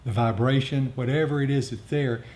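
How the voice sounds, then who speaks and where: A man teaching meditation in a talk with questions and answers, speaking in a halting, reflective, explanatory way. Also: fairly even intonation, darkish tone. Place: group meditation gathering. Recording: rumbly background noise.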